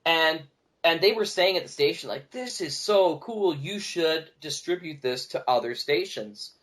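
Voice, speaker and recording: A man's voice: fairly high pitch, expressive, a little slow, fairly bright tone. A man speaking as a podcast guest, telling stories casually in a discursive conversational way. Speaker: podcast guest, audio drama producer.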